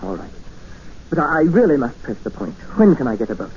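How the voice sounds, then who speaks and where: A man's voice: medium pitch, very animated, a little fast, a little mellow, a little hiss or hum, natural voice. Actor as earnest trapped Englishman, old radio sound. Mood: insistent. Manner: polite, formal, earnest. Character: trapped Englishman, educated, increasingly desperate captive narrator. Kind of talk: 1950s radio drama acting. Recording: dull vintage radio recording with music.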